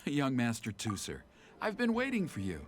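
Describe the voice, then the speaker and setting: A dutiful, formal retainer from a video game, speaks at a medium pitch. The intonation swoops widely and the manner is deferential, over faint music. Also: male voice, wildly swooping pitch, normal speed. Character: formal, deferential male henchman escorting his young master.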